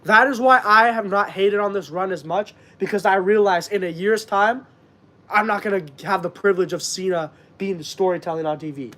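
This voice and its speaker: High-voiced man, stuffed up. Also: a little fast, a bit bright. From Canada. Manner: earnest, rambling, many fillers. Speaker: wrestling channel host. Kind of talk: casual live-stream chat.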